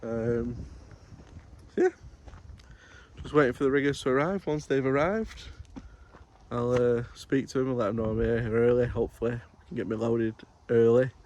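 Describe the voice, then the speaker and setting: Male courier vlogger, mid-pitched, loose colloquial delivery, recorded with slight noise behind the voice. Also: normal speed, pauses often, darkish tone, lo-fi sound. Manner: colloquial, rambling. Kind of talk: casual vlog chat.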